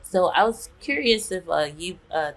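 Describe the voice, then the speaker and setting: A woman's voice: lowish voice, very animated, moderate pace. A woman hosting a business webinar, introducing her guest with chatty enthusiasm. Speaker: webinar host.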